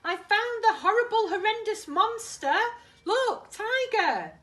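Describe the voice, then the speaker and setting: A woman voicing a curious, gentle young male puppy in a children's storybook, earnest and childlike. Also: very high voice, animated, slow pace, recorded from a distance, pitched above the performer's own voice, exaggerated voice. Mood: delighted.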